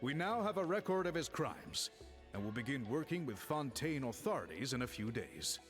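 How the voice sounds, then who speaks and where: Dignified game chief voiced by a man, formal, deliberate, expressive pitch swings, mid-range, music behind. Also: natural voice. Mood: earnest.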